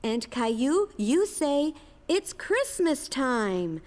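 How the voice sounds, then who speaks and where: High, lilting cartoon schoolteacher. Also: female voice, very animated, drawn-out words, darkish tone, exaggerated voice. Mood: encouraging. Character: encouraging female schoolteacher directing a children's play. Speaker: school play director. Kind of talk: scripted children's cartoon acting. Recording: long hall reverb.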